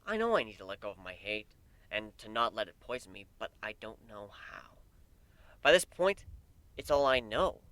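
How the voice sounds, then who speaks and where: A man's voice: fairly low pitch, sing-song, normal speed, pushed and bright, no echo. A man voicing an angry, weary male griffin with an intense, emphatic delivery in a dramatic story reading. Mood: impassioned. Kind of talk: dramatic fan fiction reading.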